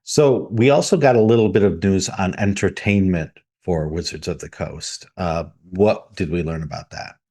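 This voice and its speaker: A man's voice: low voice, moderate pace, few pauses, warm tone, breathy edge. A man co-hosting a podcast, steering topics and putting questions to his partner. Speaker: podcast co-host. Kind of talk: casual podcast discussion.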